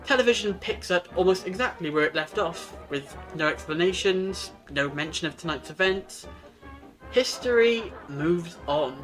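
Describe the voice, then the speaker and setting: An audio drama time traveller, played by a man with a high voice. He is wise and earnest, explaining history like a storyteller with real enthusiasm. Music plays clearly underneath. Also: lively intonation, moderate pace, a bit bright, low-quality recording. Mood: reflective.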